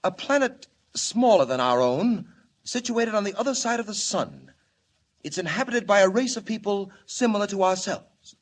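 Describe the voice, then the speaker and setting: An actor playing an earnest, urgent alien scientist in grave, theatrical 1940s radio style. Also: male voice, high voice, animated, normal speed. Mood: earnest, explaining. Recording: old 1940 radio recording, echoey in places.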